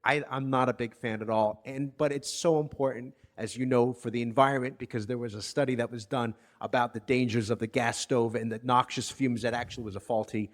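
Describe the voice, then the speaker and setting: A man's voice: medium pitch, steady tone, brisk, few pauses. A man co-hosting a podcast, speaking in a rambling, digressive way with easy, agreeable banter. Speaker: podcast co-host. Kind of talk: casual podcast chat.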